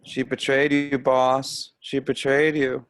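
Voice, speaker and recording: A man playing a cold, blunt, deadpan henchman in a film script table read. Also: medium pitch, slow, clear, resonant tone, dry recording. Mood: cold, insistent. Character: cold, menacing male henchman.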